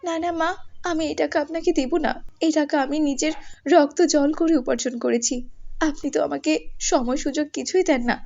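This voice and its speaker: Woman playing a meek, high-voiced young seamstress. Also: darkish tone, dull audio. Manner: earnest, pleading. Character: meek, hard-working young seamstress, aged twenty-two.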